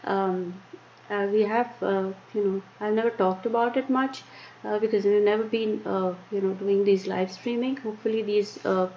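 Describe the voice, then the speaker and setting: A woman with an Indian accent presenting a live-streamed tech lesson, talking haltingly with many fillers and restarts. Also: mid-range voice, steady tone. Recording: muffled lo-fi stream with faint noise.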